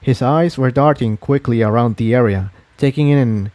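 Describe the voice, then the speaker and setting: A man narrates an adventure story in a mellow, relaxed voice of middling pitch. The delivery is scripted narration, and the sound is close and dry. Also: moderate pace, no echo.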